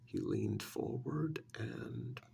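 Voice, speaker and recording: Slow, hushed man telling an ASMR story. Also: mid-range voice, wildly swooping pitch, gravelly, a little hiss or hum. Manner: hushed, often dropping into whisper; halting, deliberate phrasing with long pauses. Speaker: ASMR role-play performer. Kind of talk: soft-spoken ASMR storytelling.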